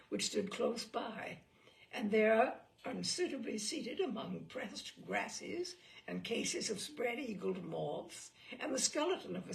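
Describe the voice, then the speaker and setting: A woman's voice: on the high side, gravelly. A woman reading a comic memoir aloud in a wry, playful way, amused by the text. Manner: wry, playful, amused delivery with occasional slips and laughs. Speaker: reader of humorous essays.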